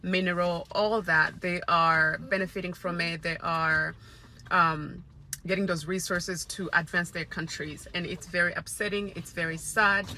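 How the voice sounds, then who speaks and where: A woman from Congo talks straight to her camera, earnest and hesitant, pausing to find her words, with a weary edge. Her voice sits low but bright and tense. A faint hiss of background noise stays under her. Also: fairly even intonation. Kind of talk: personal video to camera.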